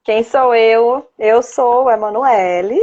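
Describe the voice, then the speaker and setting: A woman's voice: on the high side, slow pace, a little mellow, dull audio. A woman in her thirties with a Bahian Brazilian accent, chatting casually and informally as an interview guest. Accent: Bahian Brazilian Portuguese. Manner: chatty, prone to laughing, checking with "né". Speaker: interview guest, child psychologist.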